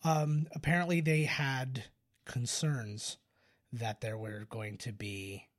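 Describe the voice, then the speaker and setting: A man's voice: medium pitch, steady tone, slow. A man co-hosting a casual film podcast, explaining at length in a rambling way and often interrupting himself. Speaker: podcast co-host. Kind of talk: casual film discussion banter.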